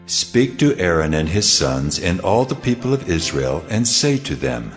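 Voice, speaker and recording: A man's voice: low-pitched, sing-song, soft background music. A man voicing the Lord, solemn and commanding, in a dramatized scripture reading. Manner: solemn, slow, weighty pronouncements.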